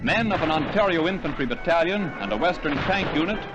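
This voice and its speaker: A man's voice: fairly high pitch, expressive, fairly quick, muffled recording, over music. A man reading scripted 1940s newsreel narration in a formal announcer delivery, on a vintage soundtrack.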